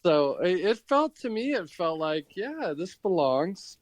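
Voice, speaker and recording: A man's voice: sits high, big pitch swings, unhurried, a little hiss or hum. An older man co-hosting a podcast in casual, conversational talk. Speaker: podcast co-host. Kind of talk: casual chat.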